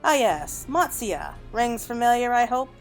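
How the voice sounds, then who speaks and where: A woman voicing a brisk, courteous quest giver from a fantasy game, formal and wordy with a teasing touch. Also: fairly high pitch, big pitch swings, voice pushed up. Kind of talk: acted reading of fantasy game dialogue. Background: game music.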